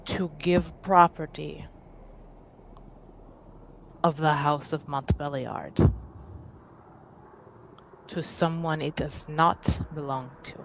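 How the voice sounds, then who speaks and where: A woman playing a guarded, formal envoy of a noble house in a tabletop game, speaking deliberately. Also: sits low, steady tone, vocal fry, slight background noise, exaggerated voice, low-quality recording. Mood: controlled indignation.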